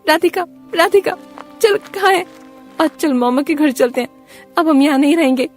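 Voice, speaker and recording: Fed-up wife for a moral cartoon, female actor: high, angry, commanding, scolding. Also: moderate pace, faint music behind, lightly acted. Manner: sharp, commanding.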